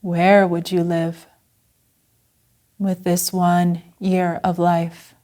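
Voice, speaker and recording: Female meditation teacher. Lowish pitch, very mellow tone, gentle and unhurried guided delivery. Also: flat delivery. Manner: gentle, unhurried, with long pauses. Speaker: Zen Buddhist teacher guiding a meditation.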